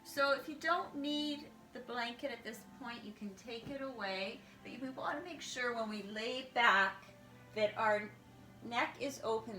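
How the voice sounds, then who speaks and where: A woman leading a guided yoga class, giving calm, step-by-step instructions. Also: fairly high pitch. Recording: echoey room with music behind. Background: music.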